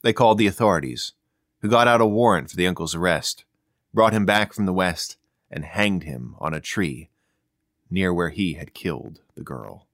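A man's voice: sits low, expressive, moderate pace, a bit bright, no room sound, clean studio sound. A man telling a folk ghost story as a read narration.

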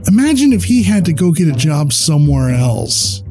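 A man's voice: on the high side, big pitch swings, normal speed, a little mellow, faint music behind. A man giving a scripted promotional appeal as a podcast announcer.

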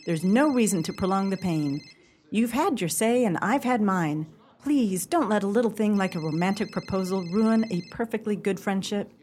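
A woman's voice: medium pitch, animated, moderate pace, a little mellow, natural voice. A woman playing a firm, dismissive love interest in an amateur radio play, speaking in a theatrical, emphatic way. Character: firm, dismissive woman rejecting her suitor.

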